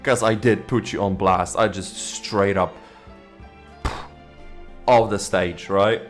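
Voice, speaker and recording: A man's voice: fairly low pitch, fairly even intonation, takes it slowly. A man streaming a game playthrough with casual, reactive, informal and sweary commentary. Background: game music.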